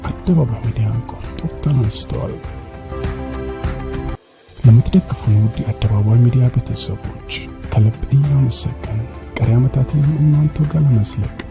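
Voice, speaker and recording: Gravelly rapid promo announcer man. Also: mid-range voice. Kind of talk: scripted promo voice-over. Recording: voice-over with music behind.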